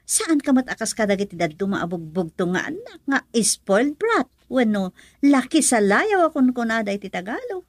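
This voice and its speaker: A teasing young confidante with a Filipino accent and a high-pitched voice. She is frank and expressive, swinging widely in pitch, as in a radio drama. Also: normal speed, no room sound.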